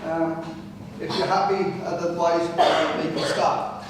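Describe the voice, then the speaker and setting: A man with a naturally loud voice gives a chatty local history talk, often checking in with "right?". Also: a little high, flat delivery, slow, slight background noise. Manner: chatty, addresses the audience directly with frequent "right?". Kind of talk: public history talk to a live audience. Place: large hall with pillars, live audience. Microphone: no microphone, far room pickup. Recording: echoey hall from far off.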